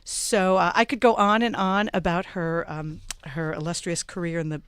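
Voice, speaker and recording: A woman hosting a radio panel show, introducing her guests in a measured way with plenty of ums and uhs. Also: lowish voice, steady tone, a bit bright, clear, resonant tone, dry recording. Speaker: radio talk show host. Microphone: studio microphone.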